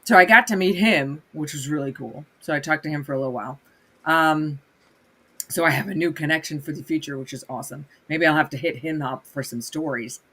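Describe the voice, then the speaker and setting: A woman from Minnesota, a guest on a livestream, chatting in a lively storytelling way with a voice hoarse from a cold. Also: low-pitched, fairly quick. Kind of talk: casual livestream conversation.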